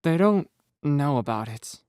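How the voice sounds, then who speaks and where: A man's voice: a little high, animated, unhurried, darkish tone, smooth, no echo, studio quality. A man voicing a guarded, troubled teenage boy who speaks in a subdued, reluctant way in a storybook reading.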